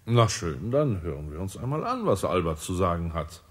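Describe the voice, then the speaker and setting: A man's voice: sits low, very animated, normal speed, very noisy. An actor playing the stern, formal chairman of a heavenly conference in a radio drama. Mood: grave. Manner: grave, formal, commanding.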